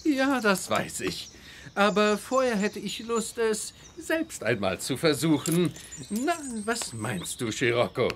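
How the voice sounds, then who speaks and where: A genteel count, very animated. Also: male voice, sits high, slow, slight background noise, heavily acted. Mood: genial, self-assured. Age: middle-aged. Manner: genteel, self-assured. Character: jovial, confident aristocratic count, father of a teenager. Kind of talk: children's audio drama acting.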